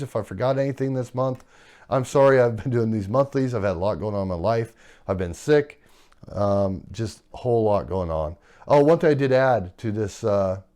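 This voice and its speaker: Hoarse man rambling through a finance update. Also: deep voice, warm tone. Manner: rambling, loose, filler-heavy. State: hoarse, losing his voice. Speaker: personal finance vlogger. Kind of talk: casual monthly update talk. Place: his room at home.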